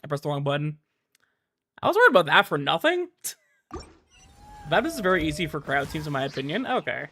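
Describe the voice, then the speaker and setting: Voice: mid-range voice, very animated, brisk, long pauses, a bit bright, slight background noise. A game streamer giving casual live commentary in an easygoing way, mumbling reassurances while playing. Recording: game music behind the voice. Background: video game music.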